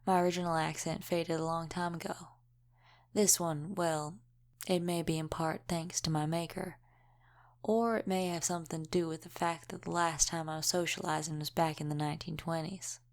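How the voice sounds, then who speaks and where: A woman playing a centuries-old, world-weary female vampire in an audio roleplay, gruffly protective, speaking in an unhurried, wry, folksy way with dropped g's. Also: deep voice, steady tone. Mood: calm, reflective. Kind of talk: intimate audio roleplay acting.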